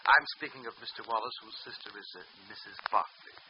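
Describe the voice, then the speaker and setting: A man's voice: lowish voice, lightly acted. Actor as stiff, fussy young male official. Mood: self-important, flustered. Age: young adult. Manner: formal, fussy, halting. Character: stiff, officious young assistant district attorney. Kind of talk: mid-century radio drama acting. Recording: muffled, noisy old radio recording.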